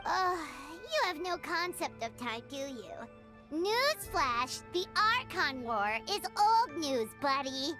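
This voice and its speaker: A pint-sized, childlike fairy companion in a video game. The voice is extremely high, bright and animated, with a squeaky, cheeky, blunt delivery over faint music. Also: female voice, slow pace, full character voice. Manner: squeaky, cheeky, speaks of herself in third person. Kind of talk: scripted video game character acting.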